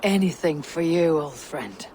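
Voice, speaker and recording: A woman's voice: low-pitched, expressive, measured pace, dark tone, a little hiss or hum. A woman playing a stoic, wry Viking warrior in a video game, with terse, dry, grave delivery. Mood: wry, fond. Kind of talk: dramatic video game acting.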